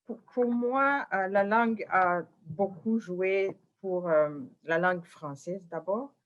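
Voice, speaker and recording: Slow-talking woman on a muffled radio panel. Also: mid-range voice. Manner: slow, searching for words, frequent fillers. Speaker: panel guest. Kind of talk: radio forum discussion.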